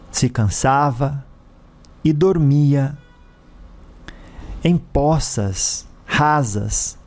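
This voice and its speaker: A Brazilian man narrates a dramatic story in a soft, dark-toned voice. He takes his time, breaking sentences into short, theatrical phrases with deliberate pauses between them. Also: mid-range voice.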